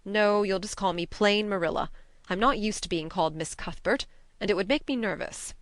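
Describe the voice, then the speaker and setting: A woman playing a stern, practical older spinster guardian in an audiobook, brisk, plain-spoken and disapproving. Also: mid-range voice, no room sound, natural voice. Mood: grim, firm. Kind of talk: audiobook character reading.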